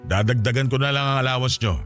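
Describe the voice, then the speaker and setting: A man's voice: mid-range voice, quick pace, fairly bright tone, soft background music, natural voice. A man acting as a defensive, pleading married cheater in a Filipino radio drama.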